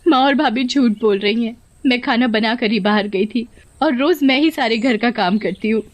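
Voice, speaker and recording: Woman as high, tearful young daughter-in-law. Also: moderate pace, natural voice. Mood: pleading. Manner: plaintive, pleading.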